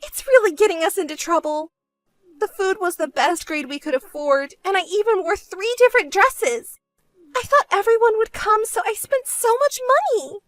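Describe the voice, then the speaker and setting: A woman's voice: very high-pitched, animated, moderate pace, no room sound, soft background music, voice pushed up. A woman voicing a spoiled, selfish younger sister in a dramatized story, whiny, melodramatic and pleading. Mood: whining complaint.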